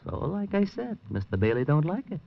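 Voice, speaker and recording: A sneering hired heavy, his gravelly voice swooping up and down as he mocks his target. It sounds like a dull old radio broadcast with a faint hiss. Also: on the high side, big pitch swings, a little fast, full character voice. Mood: menacing. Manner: sneering, mocking. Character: menacing male hired thug with a blackjack. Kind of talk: 1950s radio drama acting.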